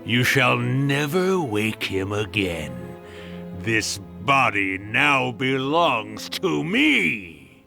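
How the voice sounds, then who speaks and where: A man's voice: medium pitch, very animated, drawn-out words, vocal fry, music in the background, full character voice. An actor playing a mischievous, theatrical male yokai spirit author in a video game, hammy and prone to mock-villain boasting. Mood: mock villainous.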